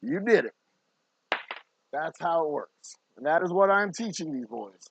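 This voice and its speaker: Hoarse middle-aged guy ranting bluntly, voice a bit high, noisy workshop with clicks behind. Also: expressive, a little slow. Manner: blunt, preachy, swears freely. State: hoarse, sore throat. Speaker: distillery owner. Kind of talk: casual vlog monologue while working. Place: distillery bottling room.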